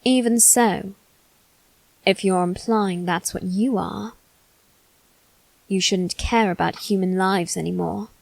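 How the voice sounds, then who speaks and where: A woman's voice: mid-range voice, steady tone, takes it slowly, vocal fry, long pauses, a little mellow, no echo, poor audio. A woman voicing a haughty, bitter young noble boy in an ASMR roleplay, speaking formally and with cold condescension. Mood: impatient, haughty. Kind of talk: ASMR character roleplay, scripted monologue.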